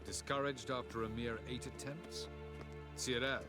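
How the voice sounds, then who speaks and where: An actor playing a severe, aloof elven sage and mentor in a video game, speaking in formal, measured, condescending phrases. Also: male voice, mid-range voice, steady tone, music in the background, lightly acted.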